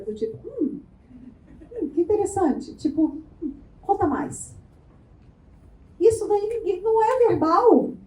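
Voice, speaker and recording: A Brazilian woman giving a lively networking workshop, talking playfully and firing quick questions at the room. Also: sits high. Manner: animated, playful, asks the room rapid questions. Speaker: workshop speaker. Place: event room before a live audience. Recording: echoey room.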